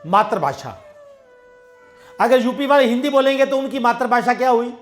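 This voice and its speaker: High-voiced man preaching a homely sermon. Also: lively intonation, normal speed, soft background music. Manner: conversational storytelling, acts out dialogue in his own voice. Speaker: satsang preacher. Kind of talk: devotional sermon with everyday parables.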